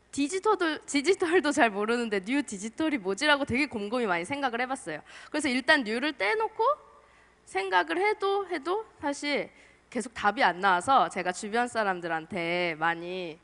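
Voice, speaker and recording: A young woman with a cold giving a chatty conference talk as a marketer, soft-spoken with small laughs. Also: sits high. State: has a cold, voice strained. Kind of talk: casual conference presentation. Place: large lecture hall. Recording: long hall reverb.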